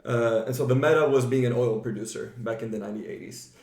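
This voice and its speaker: A man's voice: medium pitch, quick pace, a little mellow. Casual man hosting a podcast, roomy sound. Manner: informal, peppers talk with "like". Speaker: podcast host. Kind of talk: casual conversational podcast talk. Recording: roomy, echoing room sound.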